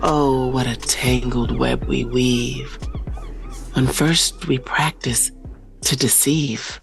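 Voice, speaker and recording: Very slow, wistful teenage narrator. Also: female voice, extremely low pitch, fairly even intonation, vocal fry, over music, full character voice. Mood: wistful, intrigued. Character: reflective teenage protagonist narrating their own story. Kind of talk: dramatic audio drama monologue.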